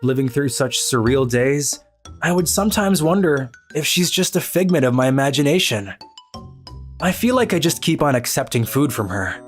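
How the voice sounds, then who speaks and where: Cool-headed teenage anime boy played by a woman, low voice, dry and exasperated narration, music underneath. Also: animated, moderate pace. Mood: dry, weary. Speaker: narrator and lead character of a manga video. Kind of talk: scripted first-person anime narration and dialogue. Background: background music.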